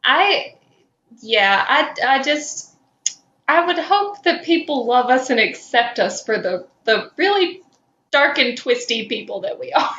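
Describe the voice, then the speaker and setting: A woman's voice: sits high, sing-song, unhurried, sharp tone, echoey room, muffled recording. A woman co-hosting a podcast in casual comedic chat. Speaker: podcast co-host.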